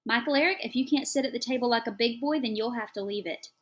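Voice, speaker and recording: A woman reading the part of a caring but strained foster mother in an audiobook, gently coaxing. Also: fairly high pitch, animated, a little fast, breathy edge, pitched above the performer's own voice. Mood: stern. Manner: gentle, coaxing, sharpens when upset. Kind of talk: audiobook character voice.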